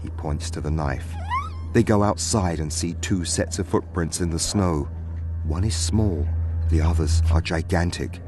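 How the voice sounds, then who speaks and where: Very deep male storyteller over music. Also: measured pace, a little mellow. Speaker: narrator. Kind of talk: scripted dramatic story narration.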